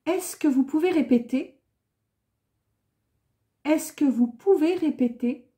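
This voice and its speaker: A woman gives a beginner French lesson at an unhurried pace, repeating each phrase twice. Her voice sits high but sounds soft and mellow. She speaks carefully and clearly, like a patient teacher.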